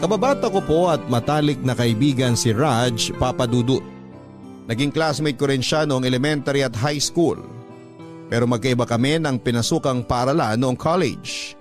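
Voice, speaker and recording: A Filipino man reads a heartfelt letter as a radio storyteller. His voice sits at a medium pitch, with music playing underneath. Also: moderate pace. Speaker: radio narrator reading a listener's letter. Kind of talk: sentimental radio storytelling narration.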